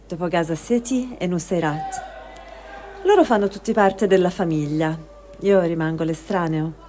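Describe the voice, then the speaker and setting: A woman playing a resigned displaced person, reading a first-person diary in a measured, sober way. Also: medium pitch, darkish tone, slight background noise, natural voice. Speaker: reader of testimony.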